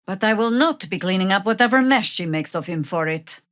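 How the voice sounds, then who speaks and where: A middle-aged woman playing a seasoned, wry druid warrior in a fantasy video game, speaking in a measured, dry, formal way. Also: mid-range voice, animated, fairly bright tone, no room sound, lightly acted. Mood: dry, cautioning. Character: seasoned, wry female druid warrior, weary but resolute.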